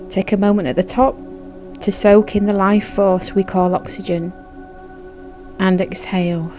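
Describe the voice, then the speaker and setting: Mellow-voiced woman guiding a meditation. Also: lowish voice. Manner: soothing, measured, instructive. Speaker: meditation guide. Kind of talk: guided meditation narration. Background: background music.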